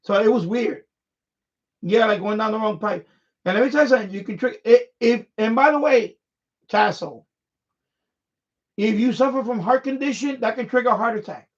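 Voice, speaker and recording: Male streamer, high voice, unscripted and repetitive halting delivery, slightly muffled low-fidelity audio. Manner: halting, repeats words and phrases often. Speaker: live stream host. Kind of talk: casual unscripted live-stream chat. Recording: dull, lo-fi microphone sound.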